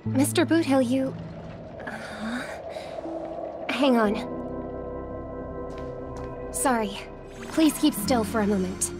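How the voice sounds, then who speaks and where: A woman playing a gentle, polite young singer in a video game, speaking softly and with measured care. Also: a little high, over music. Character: gentle young female pop singer, caring, polite, thoughtful. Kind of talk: video game story acting.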